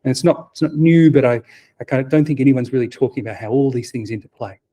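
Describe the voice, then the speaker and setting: Quiet, mellow man giving a talk. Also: medium pitch, steady tone, fast. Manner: soft-spoken, quiet voice; hesitant, many fillers and restarts, wry asides. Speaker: conference speaker. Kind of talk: technical conference talk.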